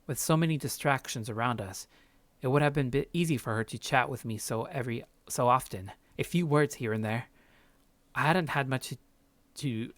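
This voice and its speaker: A man's voice: medium pitch, fairly bright tone, no room sound. A man reading visual novel narration aloud as a let's play streamer, casual and sometimes stumbling over the text. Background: game music.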